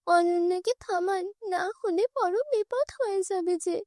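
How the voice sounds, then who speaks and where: A woman's voice: very high voice, a little slow, a little mellow, no room sound, clean studio sound, pitched above the performer's own voice. A woman voicing a kind, wronged girl in a cartoon fairy tale, earnest and pleading in manner. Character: kind, forgiving wronged girl, gentle stepdaughter.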